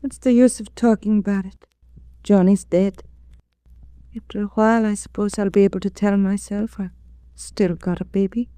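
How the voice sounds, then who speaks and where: A woman's voice: mid-range voice, steady tone, moderate pace, warm tone, clean tone, no echo, natural voice. An actress playing a weary, subdued young widowed mother, bitter but loyal, in a 1940s radio drama. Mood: despondent.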